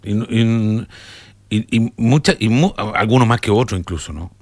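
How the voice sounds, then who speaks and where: A man hosting a talk show, commenting in a halting, measured conversational way. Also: on the low side, a little mellow, dry recording. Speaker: radio or talk show host.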